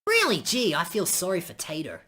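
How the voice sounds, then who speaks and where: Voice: medium pitch, wildly swooping pitch, fairly quick, pushed and bright, husky, pitched above the performer's own voice, heavily acted. A woman voicing a chatty, blokey young friend in a visual novel, teasing and full of Australian slang. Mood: excited, sympathetic.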